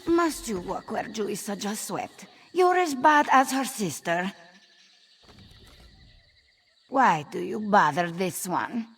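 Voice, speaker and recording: Actress as fed-up hardworking feline sister in a fantasy game: mid-pitched, scolding, long-suffering. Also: female voice, expressive, a little slow, fairly bright tone, slight background noise. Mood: scolding, weary. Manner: scolding, long-suffering, speaks of herself in third person. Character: exasperated, hardworking cat woman, scolding older-sister type. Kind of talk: AI-voiced video game quest dialogue.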